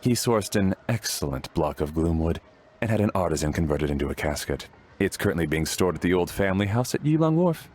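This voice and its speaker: A man's voice: low voice, sing-song, fairly quick, a little mellow. A man playing a polite, dutiful household butler in a video game, speaking formally and obligingly. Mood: polite, agreeable. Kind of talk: English video game voice acting.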